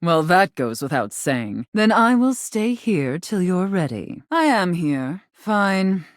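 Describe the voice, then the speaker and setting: A woman voicing a haughty, contemptuous mistress in a video game, imperious and condescending, with drawn-out, teasing menace. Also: fairly low pitch, sing-song, no echo, clean studio sound. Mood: teasing, seductive. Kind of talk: theatrical scripted game dialogue.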